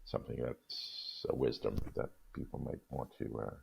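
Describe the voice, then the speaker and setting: A man, a guest reader on a radio discussion show, who talks haltingly with many restarts. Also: very deep voice, fairly even intonation, vocal fry, a little hiss or hum.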